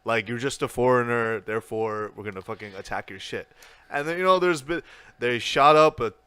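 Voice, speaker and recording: A young man talking as a podcast guest, animated and blunt, with lots of restarts and casual swearing. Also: mid-range voice, fairly quick, smooth, no room sound.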